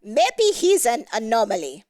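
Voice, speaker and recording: A woman's voice: on the high side, wildly swooping pitch, a little slow, darkish tone, no room sound, studio quality, voice pushed up. A woman voicing a cunning, spiteful old witch in a storybook reading, hissing and suspicious. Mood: sly, scornful. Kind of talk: storybook character voice in audio theatre.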